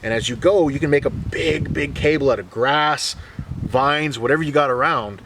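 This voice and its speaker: A man giving a relaxed, joking bushcraft lesson, explaining each step in plain words as he demonstrates. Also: on the high side, normal speed, distant mic, background noise. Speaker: bushcraft instructor. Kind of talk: hands-on outdoor skills demonstration.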